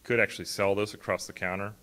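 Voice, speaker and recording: A middle-aged man with a low voice gives a conference talk from a podium on a large stage. His delivery is loose and conversational, full of ums, you-knows and self-corrections. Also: flat delivery, quick pace, sharp tone. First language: not a native Hawaiian speaker. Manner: conversational, frequent fillers and restarts. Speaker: conference speaker. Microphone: podium mic, filmed from far back.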